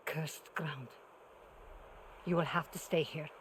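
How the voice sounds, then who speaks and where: Solemn older mentor woman, low-pitched. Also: steady tone, fairly bright tone, slight background noise. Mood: grave, protective. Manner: measured, instructive, grave. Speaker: actor in a video game. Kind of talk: cinematic game acting.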